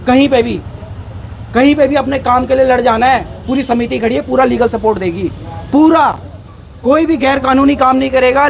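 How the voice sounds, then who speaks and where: A man's voice: very high voice, creaky, a little hiss or hum. A man from Uttarakhand speaking as a union organiser, rallying a group in an emphatic, insistent way and repeating his phrases. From Uttarakhand, India. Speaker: union organiser addressing members. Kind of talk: impromptu rallying speech to a group.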